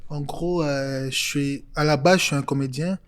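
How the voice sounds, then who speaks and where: A man in his twenties from Congo, talking casually as an interview guest, relaxed and hesitant with many fillers. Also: a little high, normal speed, smooth. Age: 20s.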